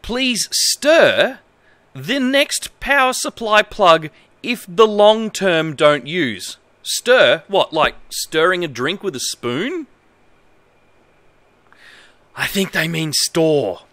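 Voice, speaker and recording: An Australian man in his thirties reading aloud on a livestream in a halting, exaggerated way, with incredulous asides. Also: sits high, sing-song, fairly bright tone, no echo. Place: indoor home streaming setup.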